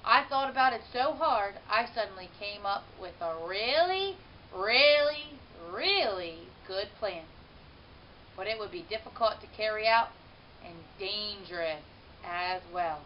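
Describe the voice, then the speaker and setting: A woman narrates a children's book with animated expression. She leans on key words and draws them out. The recording is dull, with a faint hiss behind her. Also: mid-range voice, slow. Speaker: teacher reading a children's book aloud. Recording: muffled, hissy home-style recording.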